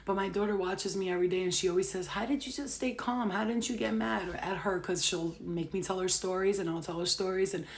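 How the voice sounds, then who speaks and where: A woman in her late thirties, a tarot reader and spiritual healer, chatting casually to live-stream viewers in a brash, profane, animated way that swings between loud rants and soft, earnest asides. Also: mid-range voice, brisk, hardly any pauses. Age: 30s.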